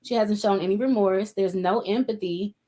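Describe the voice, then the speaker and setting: A woman's voice: medium pitch, moderate pace, mellow. A woman co-hosting a casual live-stream chat, candid and chatty with many asides.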